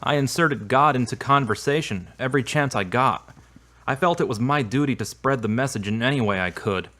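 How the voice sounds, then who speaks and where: A man's voice: mid-range voice, a little fast, a bit bright, natural voice. A man playing an earnest, polite religious believer in an amateur audio drama.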